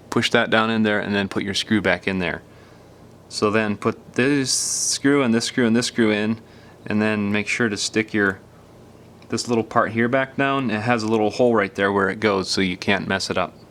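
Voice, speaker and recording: Male tutorial presenter, lowish voice, informal and folksy, walking patiently through a hands-on repair step by step. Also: fairly bright tone. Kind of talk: casual step-by-step hands-on instruction.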